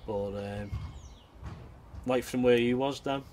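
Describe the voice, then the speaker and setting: A man's voice: mid-range voice, steady tone, slow, a little mellow. A middle-aged man with a Liverpool accent, giving an informal account as an interviewee. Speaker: interviewee, father of eyewitness. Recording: noisy location interview sound.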